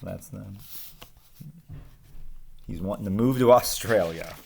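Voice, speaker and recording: A man's voice: on the low side, slow, creaky, slightly rough. A man hosting a children's picture-book read-aloud, speaking casually and expressively. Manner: expressive, animated reading. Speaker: read-aloud host, teacher-like reader.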